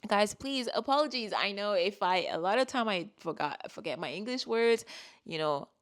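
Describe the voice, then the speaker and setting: Woman who grew up speaking french, chatty astrology talk, loops back and repeats herself a lot, mid-pitched voice. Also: lively intonation, normal speed. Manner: rambling, repeats words, restarts often. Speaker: astrologer and spiritual coach. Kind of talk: casual spiritual talk to camera.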